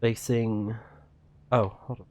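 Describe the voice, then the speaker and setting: Male let's player, low register, casual, halting read-alouds and mumbled reactions. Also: little pitch movement, mellow. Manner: muttering reactions, halting when reading aloud. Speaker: video game livestreamer. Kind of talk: casual let's play commentary, reading on-screen text aloud.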